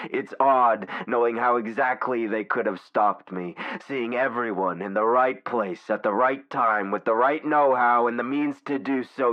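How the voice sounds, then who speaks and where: A man playing a godlike war supercomputer in a dramatic villain monologue, brooding, deliberate and grandiose, full of contempt for humanity. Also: low-pitched, muffled recording, exaggerated voice.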